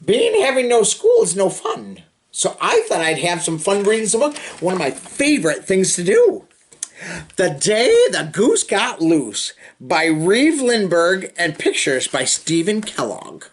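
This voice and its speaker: A man reading a children's picture book aloud in an expressive, sing-song way, with drawn-out phrasing and chatty asides. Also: high-pitched, slight background noise. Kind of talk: animated storybook read-aloud with chatty asides.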